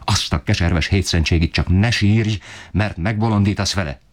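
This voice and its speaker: A man's voice: low-pitched, voice pushed up, natural voice. A man voicing a desperate, enraged poor father who bellows in fury. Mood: furious shouting. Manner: bellowing, furious outbursts.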